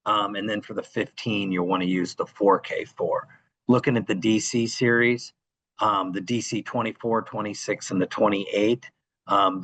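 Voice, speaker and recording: A man's voice: on the low side, fairly bright tone, low-quality recording. A man co-presenting a technical class, adding practical advice in a casual, conversational style.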